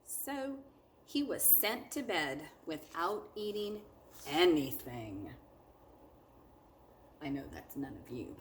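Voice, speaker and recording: Female storyteller for a children's read-aloud: low register, unhurried, teacherly, very expressive. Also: a little hiss or hum. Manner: expressive, dramatic, with drawn-out words and hushed moments. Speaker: teacher reading aloud to students. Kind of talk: animated children's picture book read-aloud.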